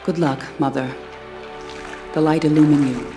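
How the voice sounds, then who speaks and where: An actress playing a proud, stern older sorceress in a fantasy game, speaking formally and pointedly with protective resolve. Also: female voice, sits low, fairly even intonation, moderate pace, natural voice. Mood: stern, solemn. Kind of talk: dramatic fantasy game cutscene acting. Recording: game music behind the voice.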